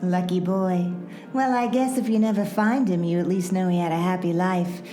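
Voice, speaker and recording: Brassy noir waitress-informant, played by a woman: knowing and curt, mellow tone, roomy echo. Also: fairly low pitch, steady tone, normal speed, natural voice. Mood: knowing, wary. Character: brassy, world-weary female server and underworld informant. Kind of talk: noir radio play acting. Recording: roomy echo, faint music bed.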